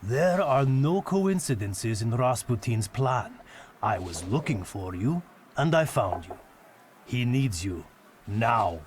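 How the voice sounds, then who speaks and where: A man's voice: medium pitch, big pitch swings, takes it slowly. Curt henchman, noise behind. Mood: stern, cryptic. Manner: curt, commanding. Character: brusque, cryptic male henchman of a mystic master. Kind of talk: dramatic video game acting. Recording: clear background noise.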